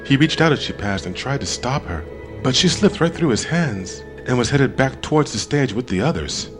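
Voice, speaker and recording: A man narrating an audiobook in a dramatic scripted style, expressive, with deliberate pauses. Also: medium pitch, soft background music.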